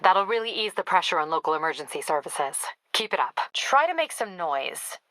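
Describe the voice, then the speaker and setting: A woman's voice: lowish voice, sing-song, fairly quick, bright, forceful tone, no room sound. A woman playing a tough, businesslike female police captain in a video game, clipped and authoritative with a wry edge. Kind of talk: video game dialogue acting.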